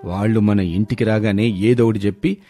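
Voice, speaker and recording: A man's voice: fairly low pitch, steady tone, normal speed, dark tone, soft background music, natural voice. A man voicing an irritable village elder who is worn out by two fools. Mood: flustered. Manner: exasperated, grumbling.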